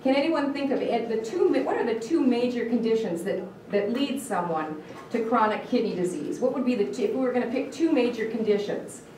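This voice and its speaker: A woman's voice: a little high, lively intonation, brisk, dark tone, slight background noise. Woman, Michigan accent, dietitian teaching a class; earnest, questions the room, restarts her words; distant mic in a very echoey room. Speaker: dietitian giving a talk. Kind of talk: live educational talk to an audience.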